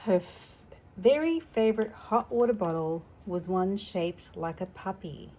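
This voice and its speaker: A woman reads a children's picture book aloud at a very unhurried pace, with a mid-range voice. She is expressive and drops in simple, chatty asides for a young listener. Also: mid-range voice, a little hiss or hum. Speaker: storytime reader.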